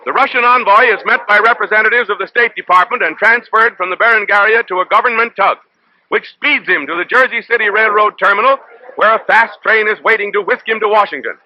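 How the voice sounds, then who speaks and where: A man's voice: high voice, a little fast, bright, forceful tone, highs cut off, a little hiss or hum. An American man narrating a 1930s newsreel in a declamatory, dramatic announcer's manner, on an old film soundtrack.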